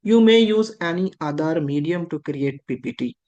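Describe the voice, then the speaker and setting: Instructor, patient and repetitive, mid pitch. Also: lively intonation, moderate pace, dark tone, muffled recording. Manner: patient, repetitive explaining with frequent rhetorical questions. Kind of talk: explaining a lesson.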